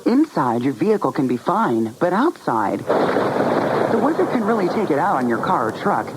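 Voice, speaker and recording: A female radio ad announcer with a fairly low voice. Her scripted read is animated, with loud music underneath. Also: moderate pace, poor audio. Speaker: commercial announcer.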